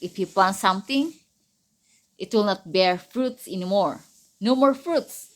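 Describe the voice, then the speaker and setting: A woman's voice: medium pitch, measured pace, low-quality recording. A woman telling a Bible story to a young child in simple, non-native English phrasing, often asking questions and acting out dialogue. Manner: asks the listener questions, acts out dialogue.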